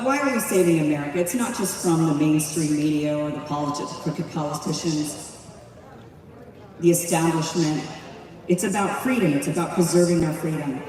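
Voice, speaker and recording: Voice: medium pitch, darkish tone, recorded from a distance. Impassioned speaker in an echoey hall. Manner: impassioned, with many rhetorical questions. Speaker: speaker at a community political event. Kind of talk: rousing public speech.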